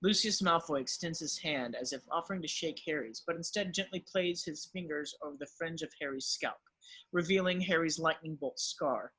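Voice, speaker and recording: A man narrates stage directions for a table read. His voice sits a little high, husky yet bright and forward, in a scripted reading style. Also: normal speed.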